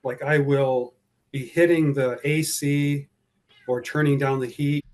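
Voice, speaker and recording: A man being interviewed about his anxiety, speaking hesitantly with frequent pauses and fillers. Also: a little high, steady tone, a little mellow. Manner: hesitant, many fillers and restarts. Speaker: guest describing his driving anxiety. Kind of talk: personal account in an interview.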